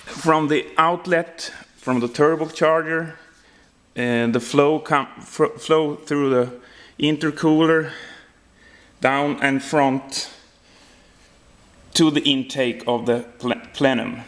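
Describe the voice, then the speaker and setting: A man in his thirties with a Swedish accent, giving an informal walkaround explanation in halting, non-native English as he searches for words. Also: a little high, fairly even intonation, fairly bright tone. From Sweden. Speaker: home builder presenting his project. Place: indoor workshop garage.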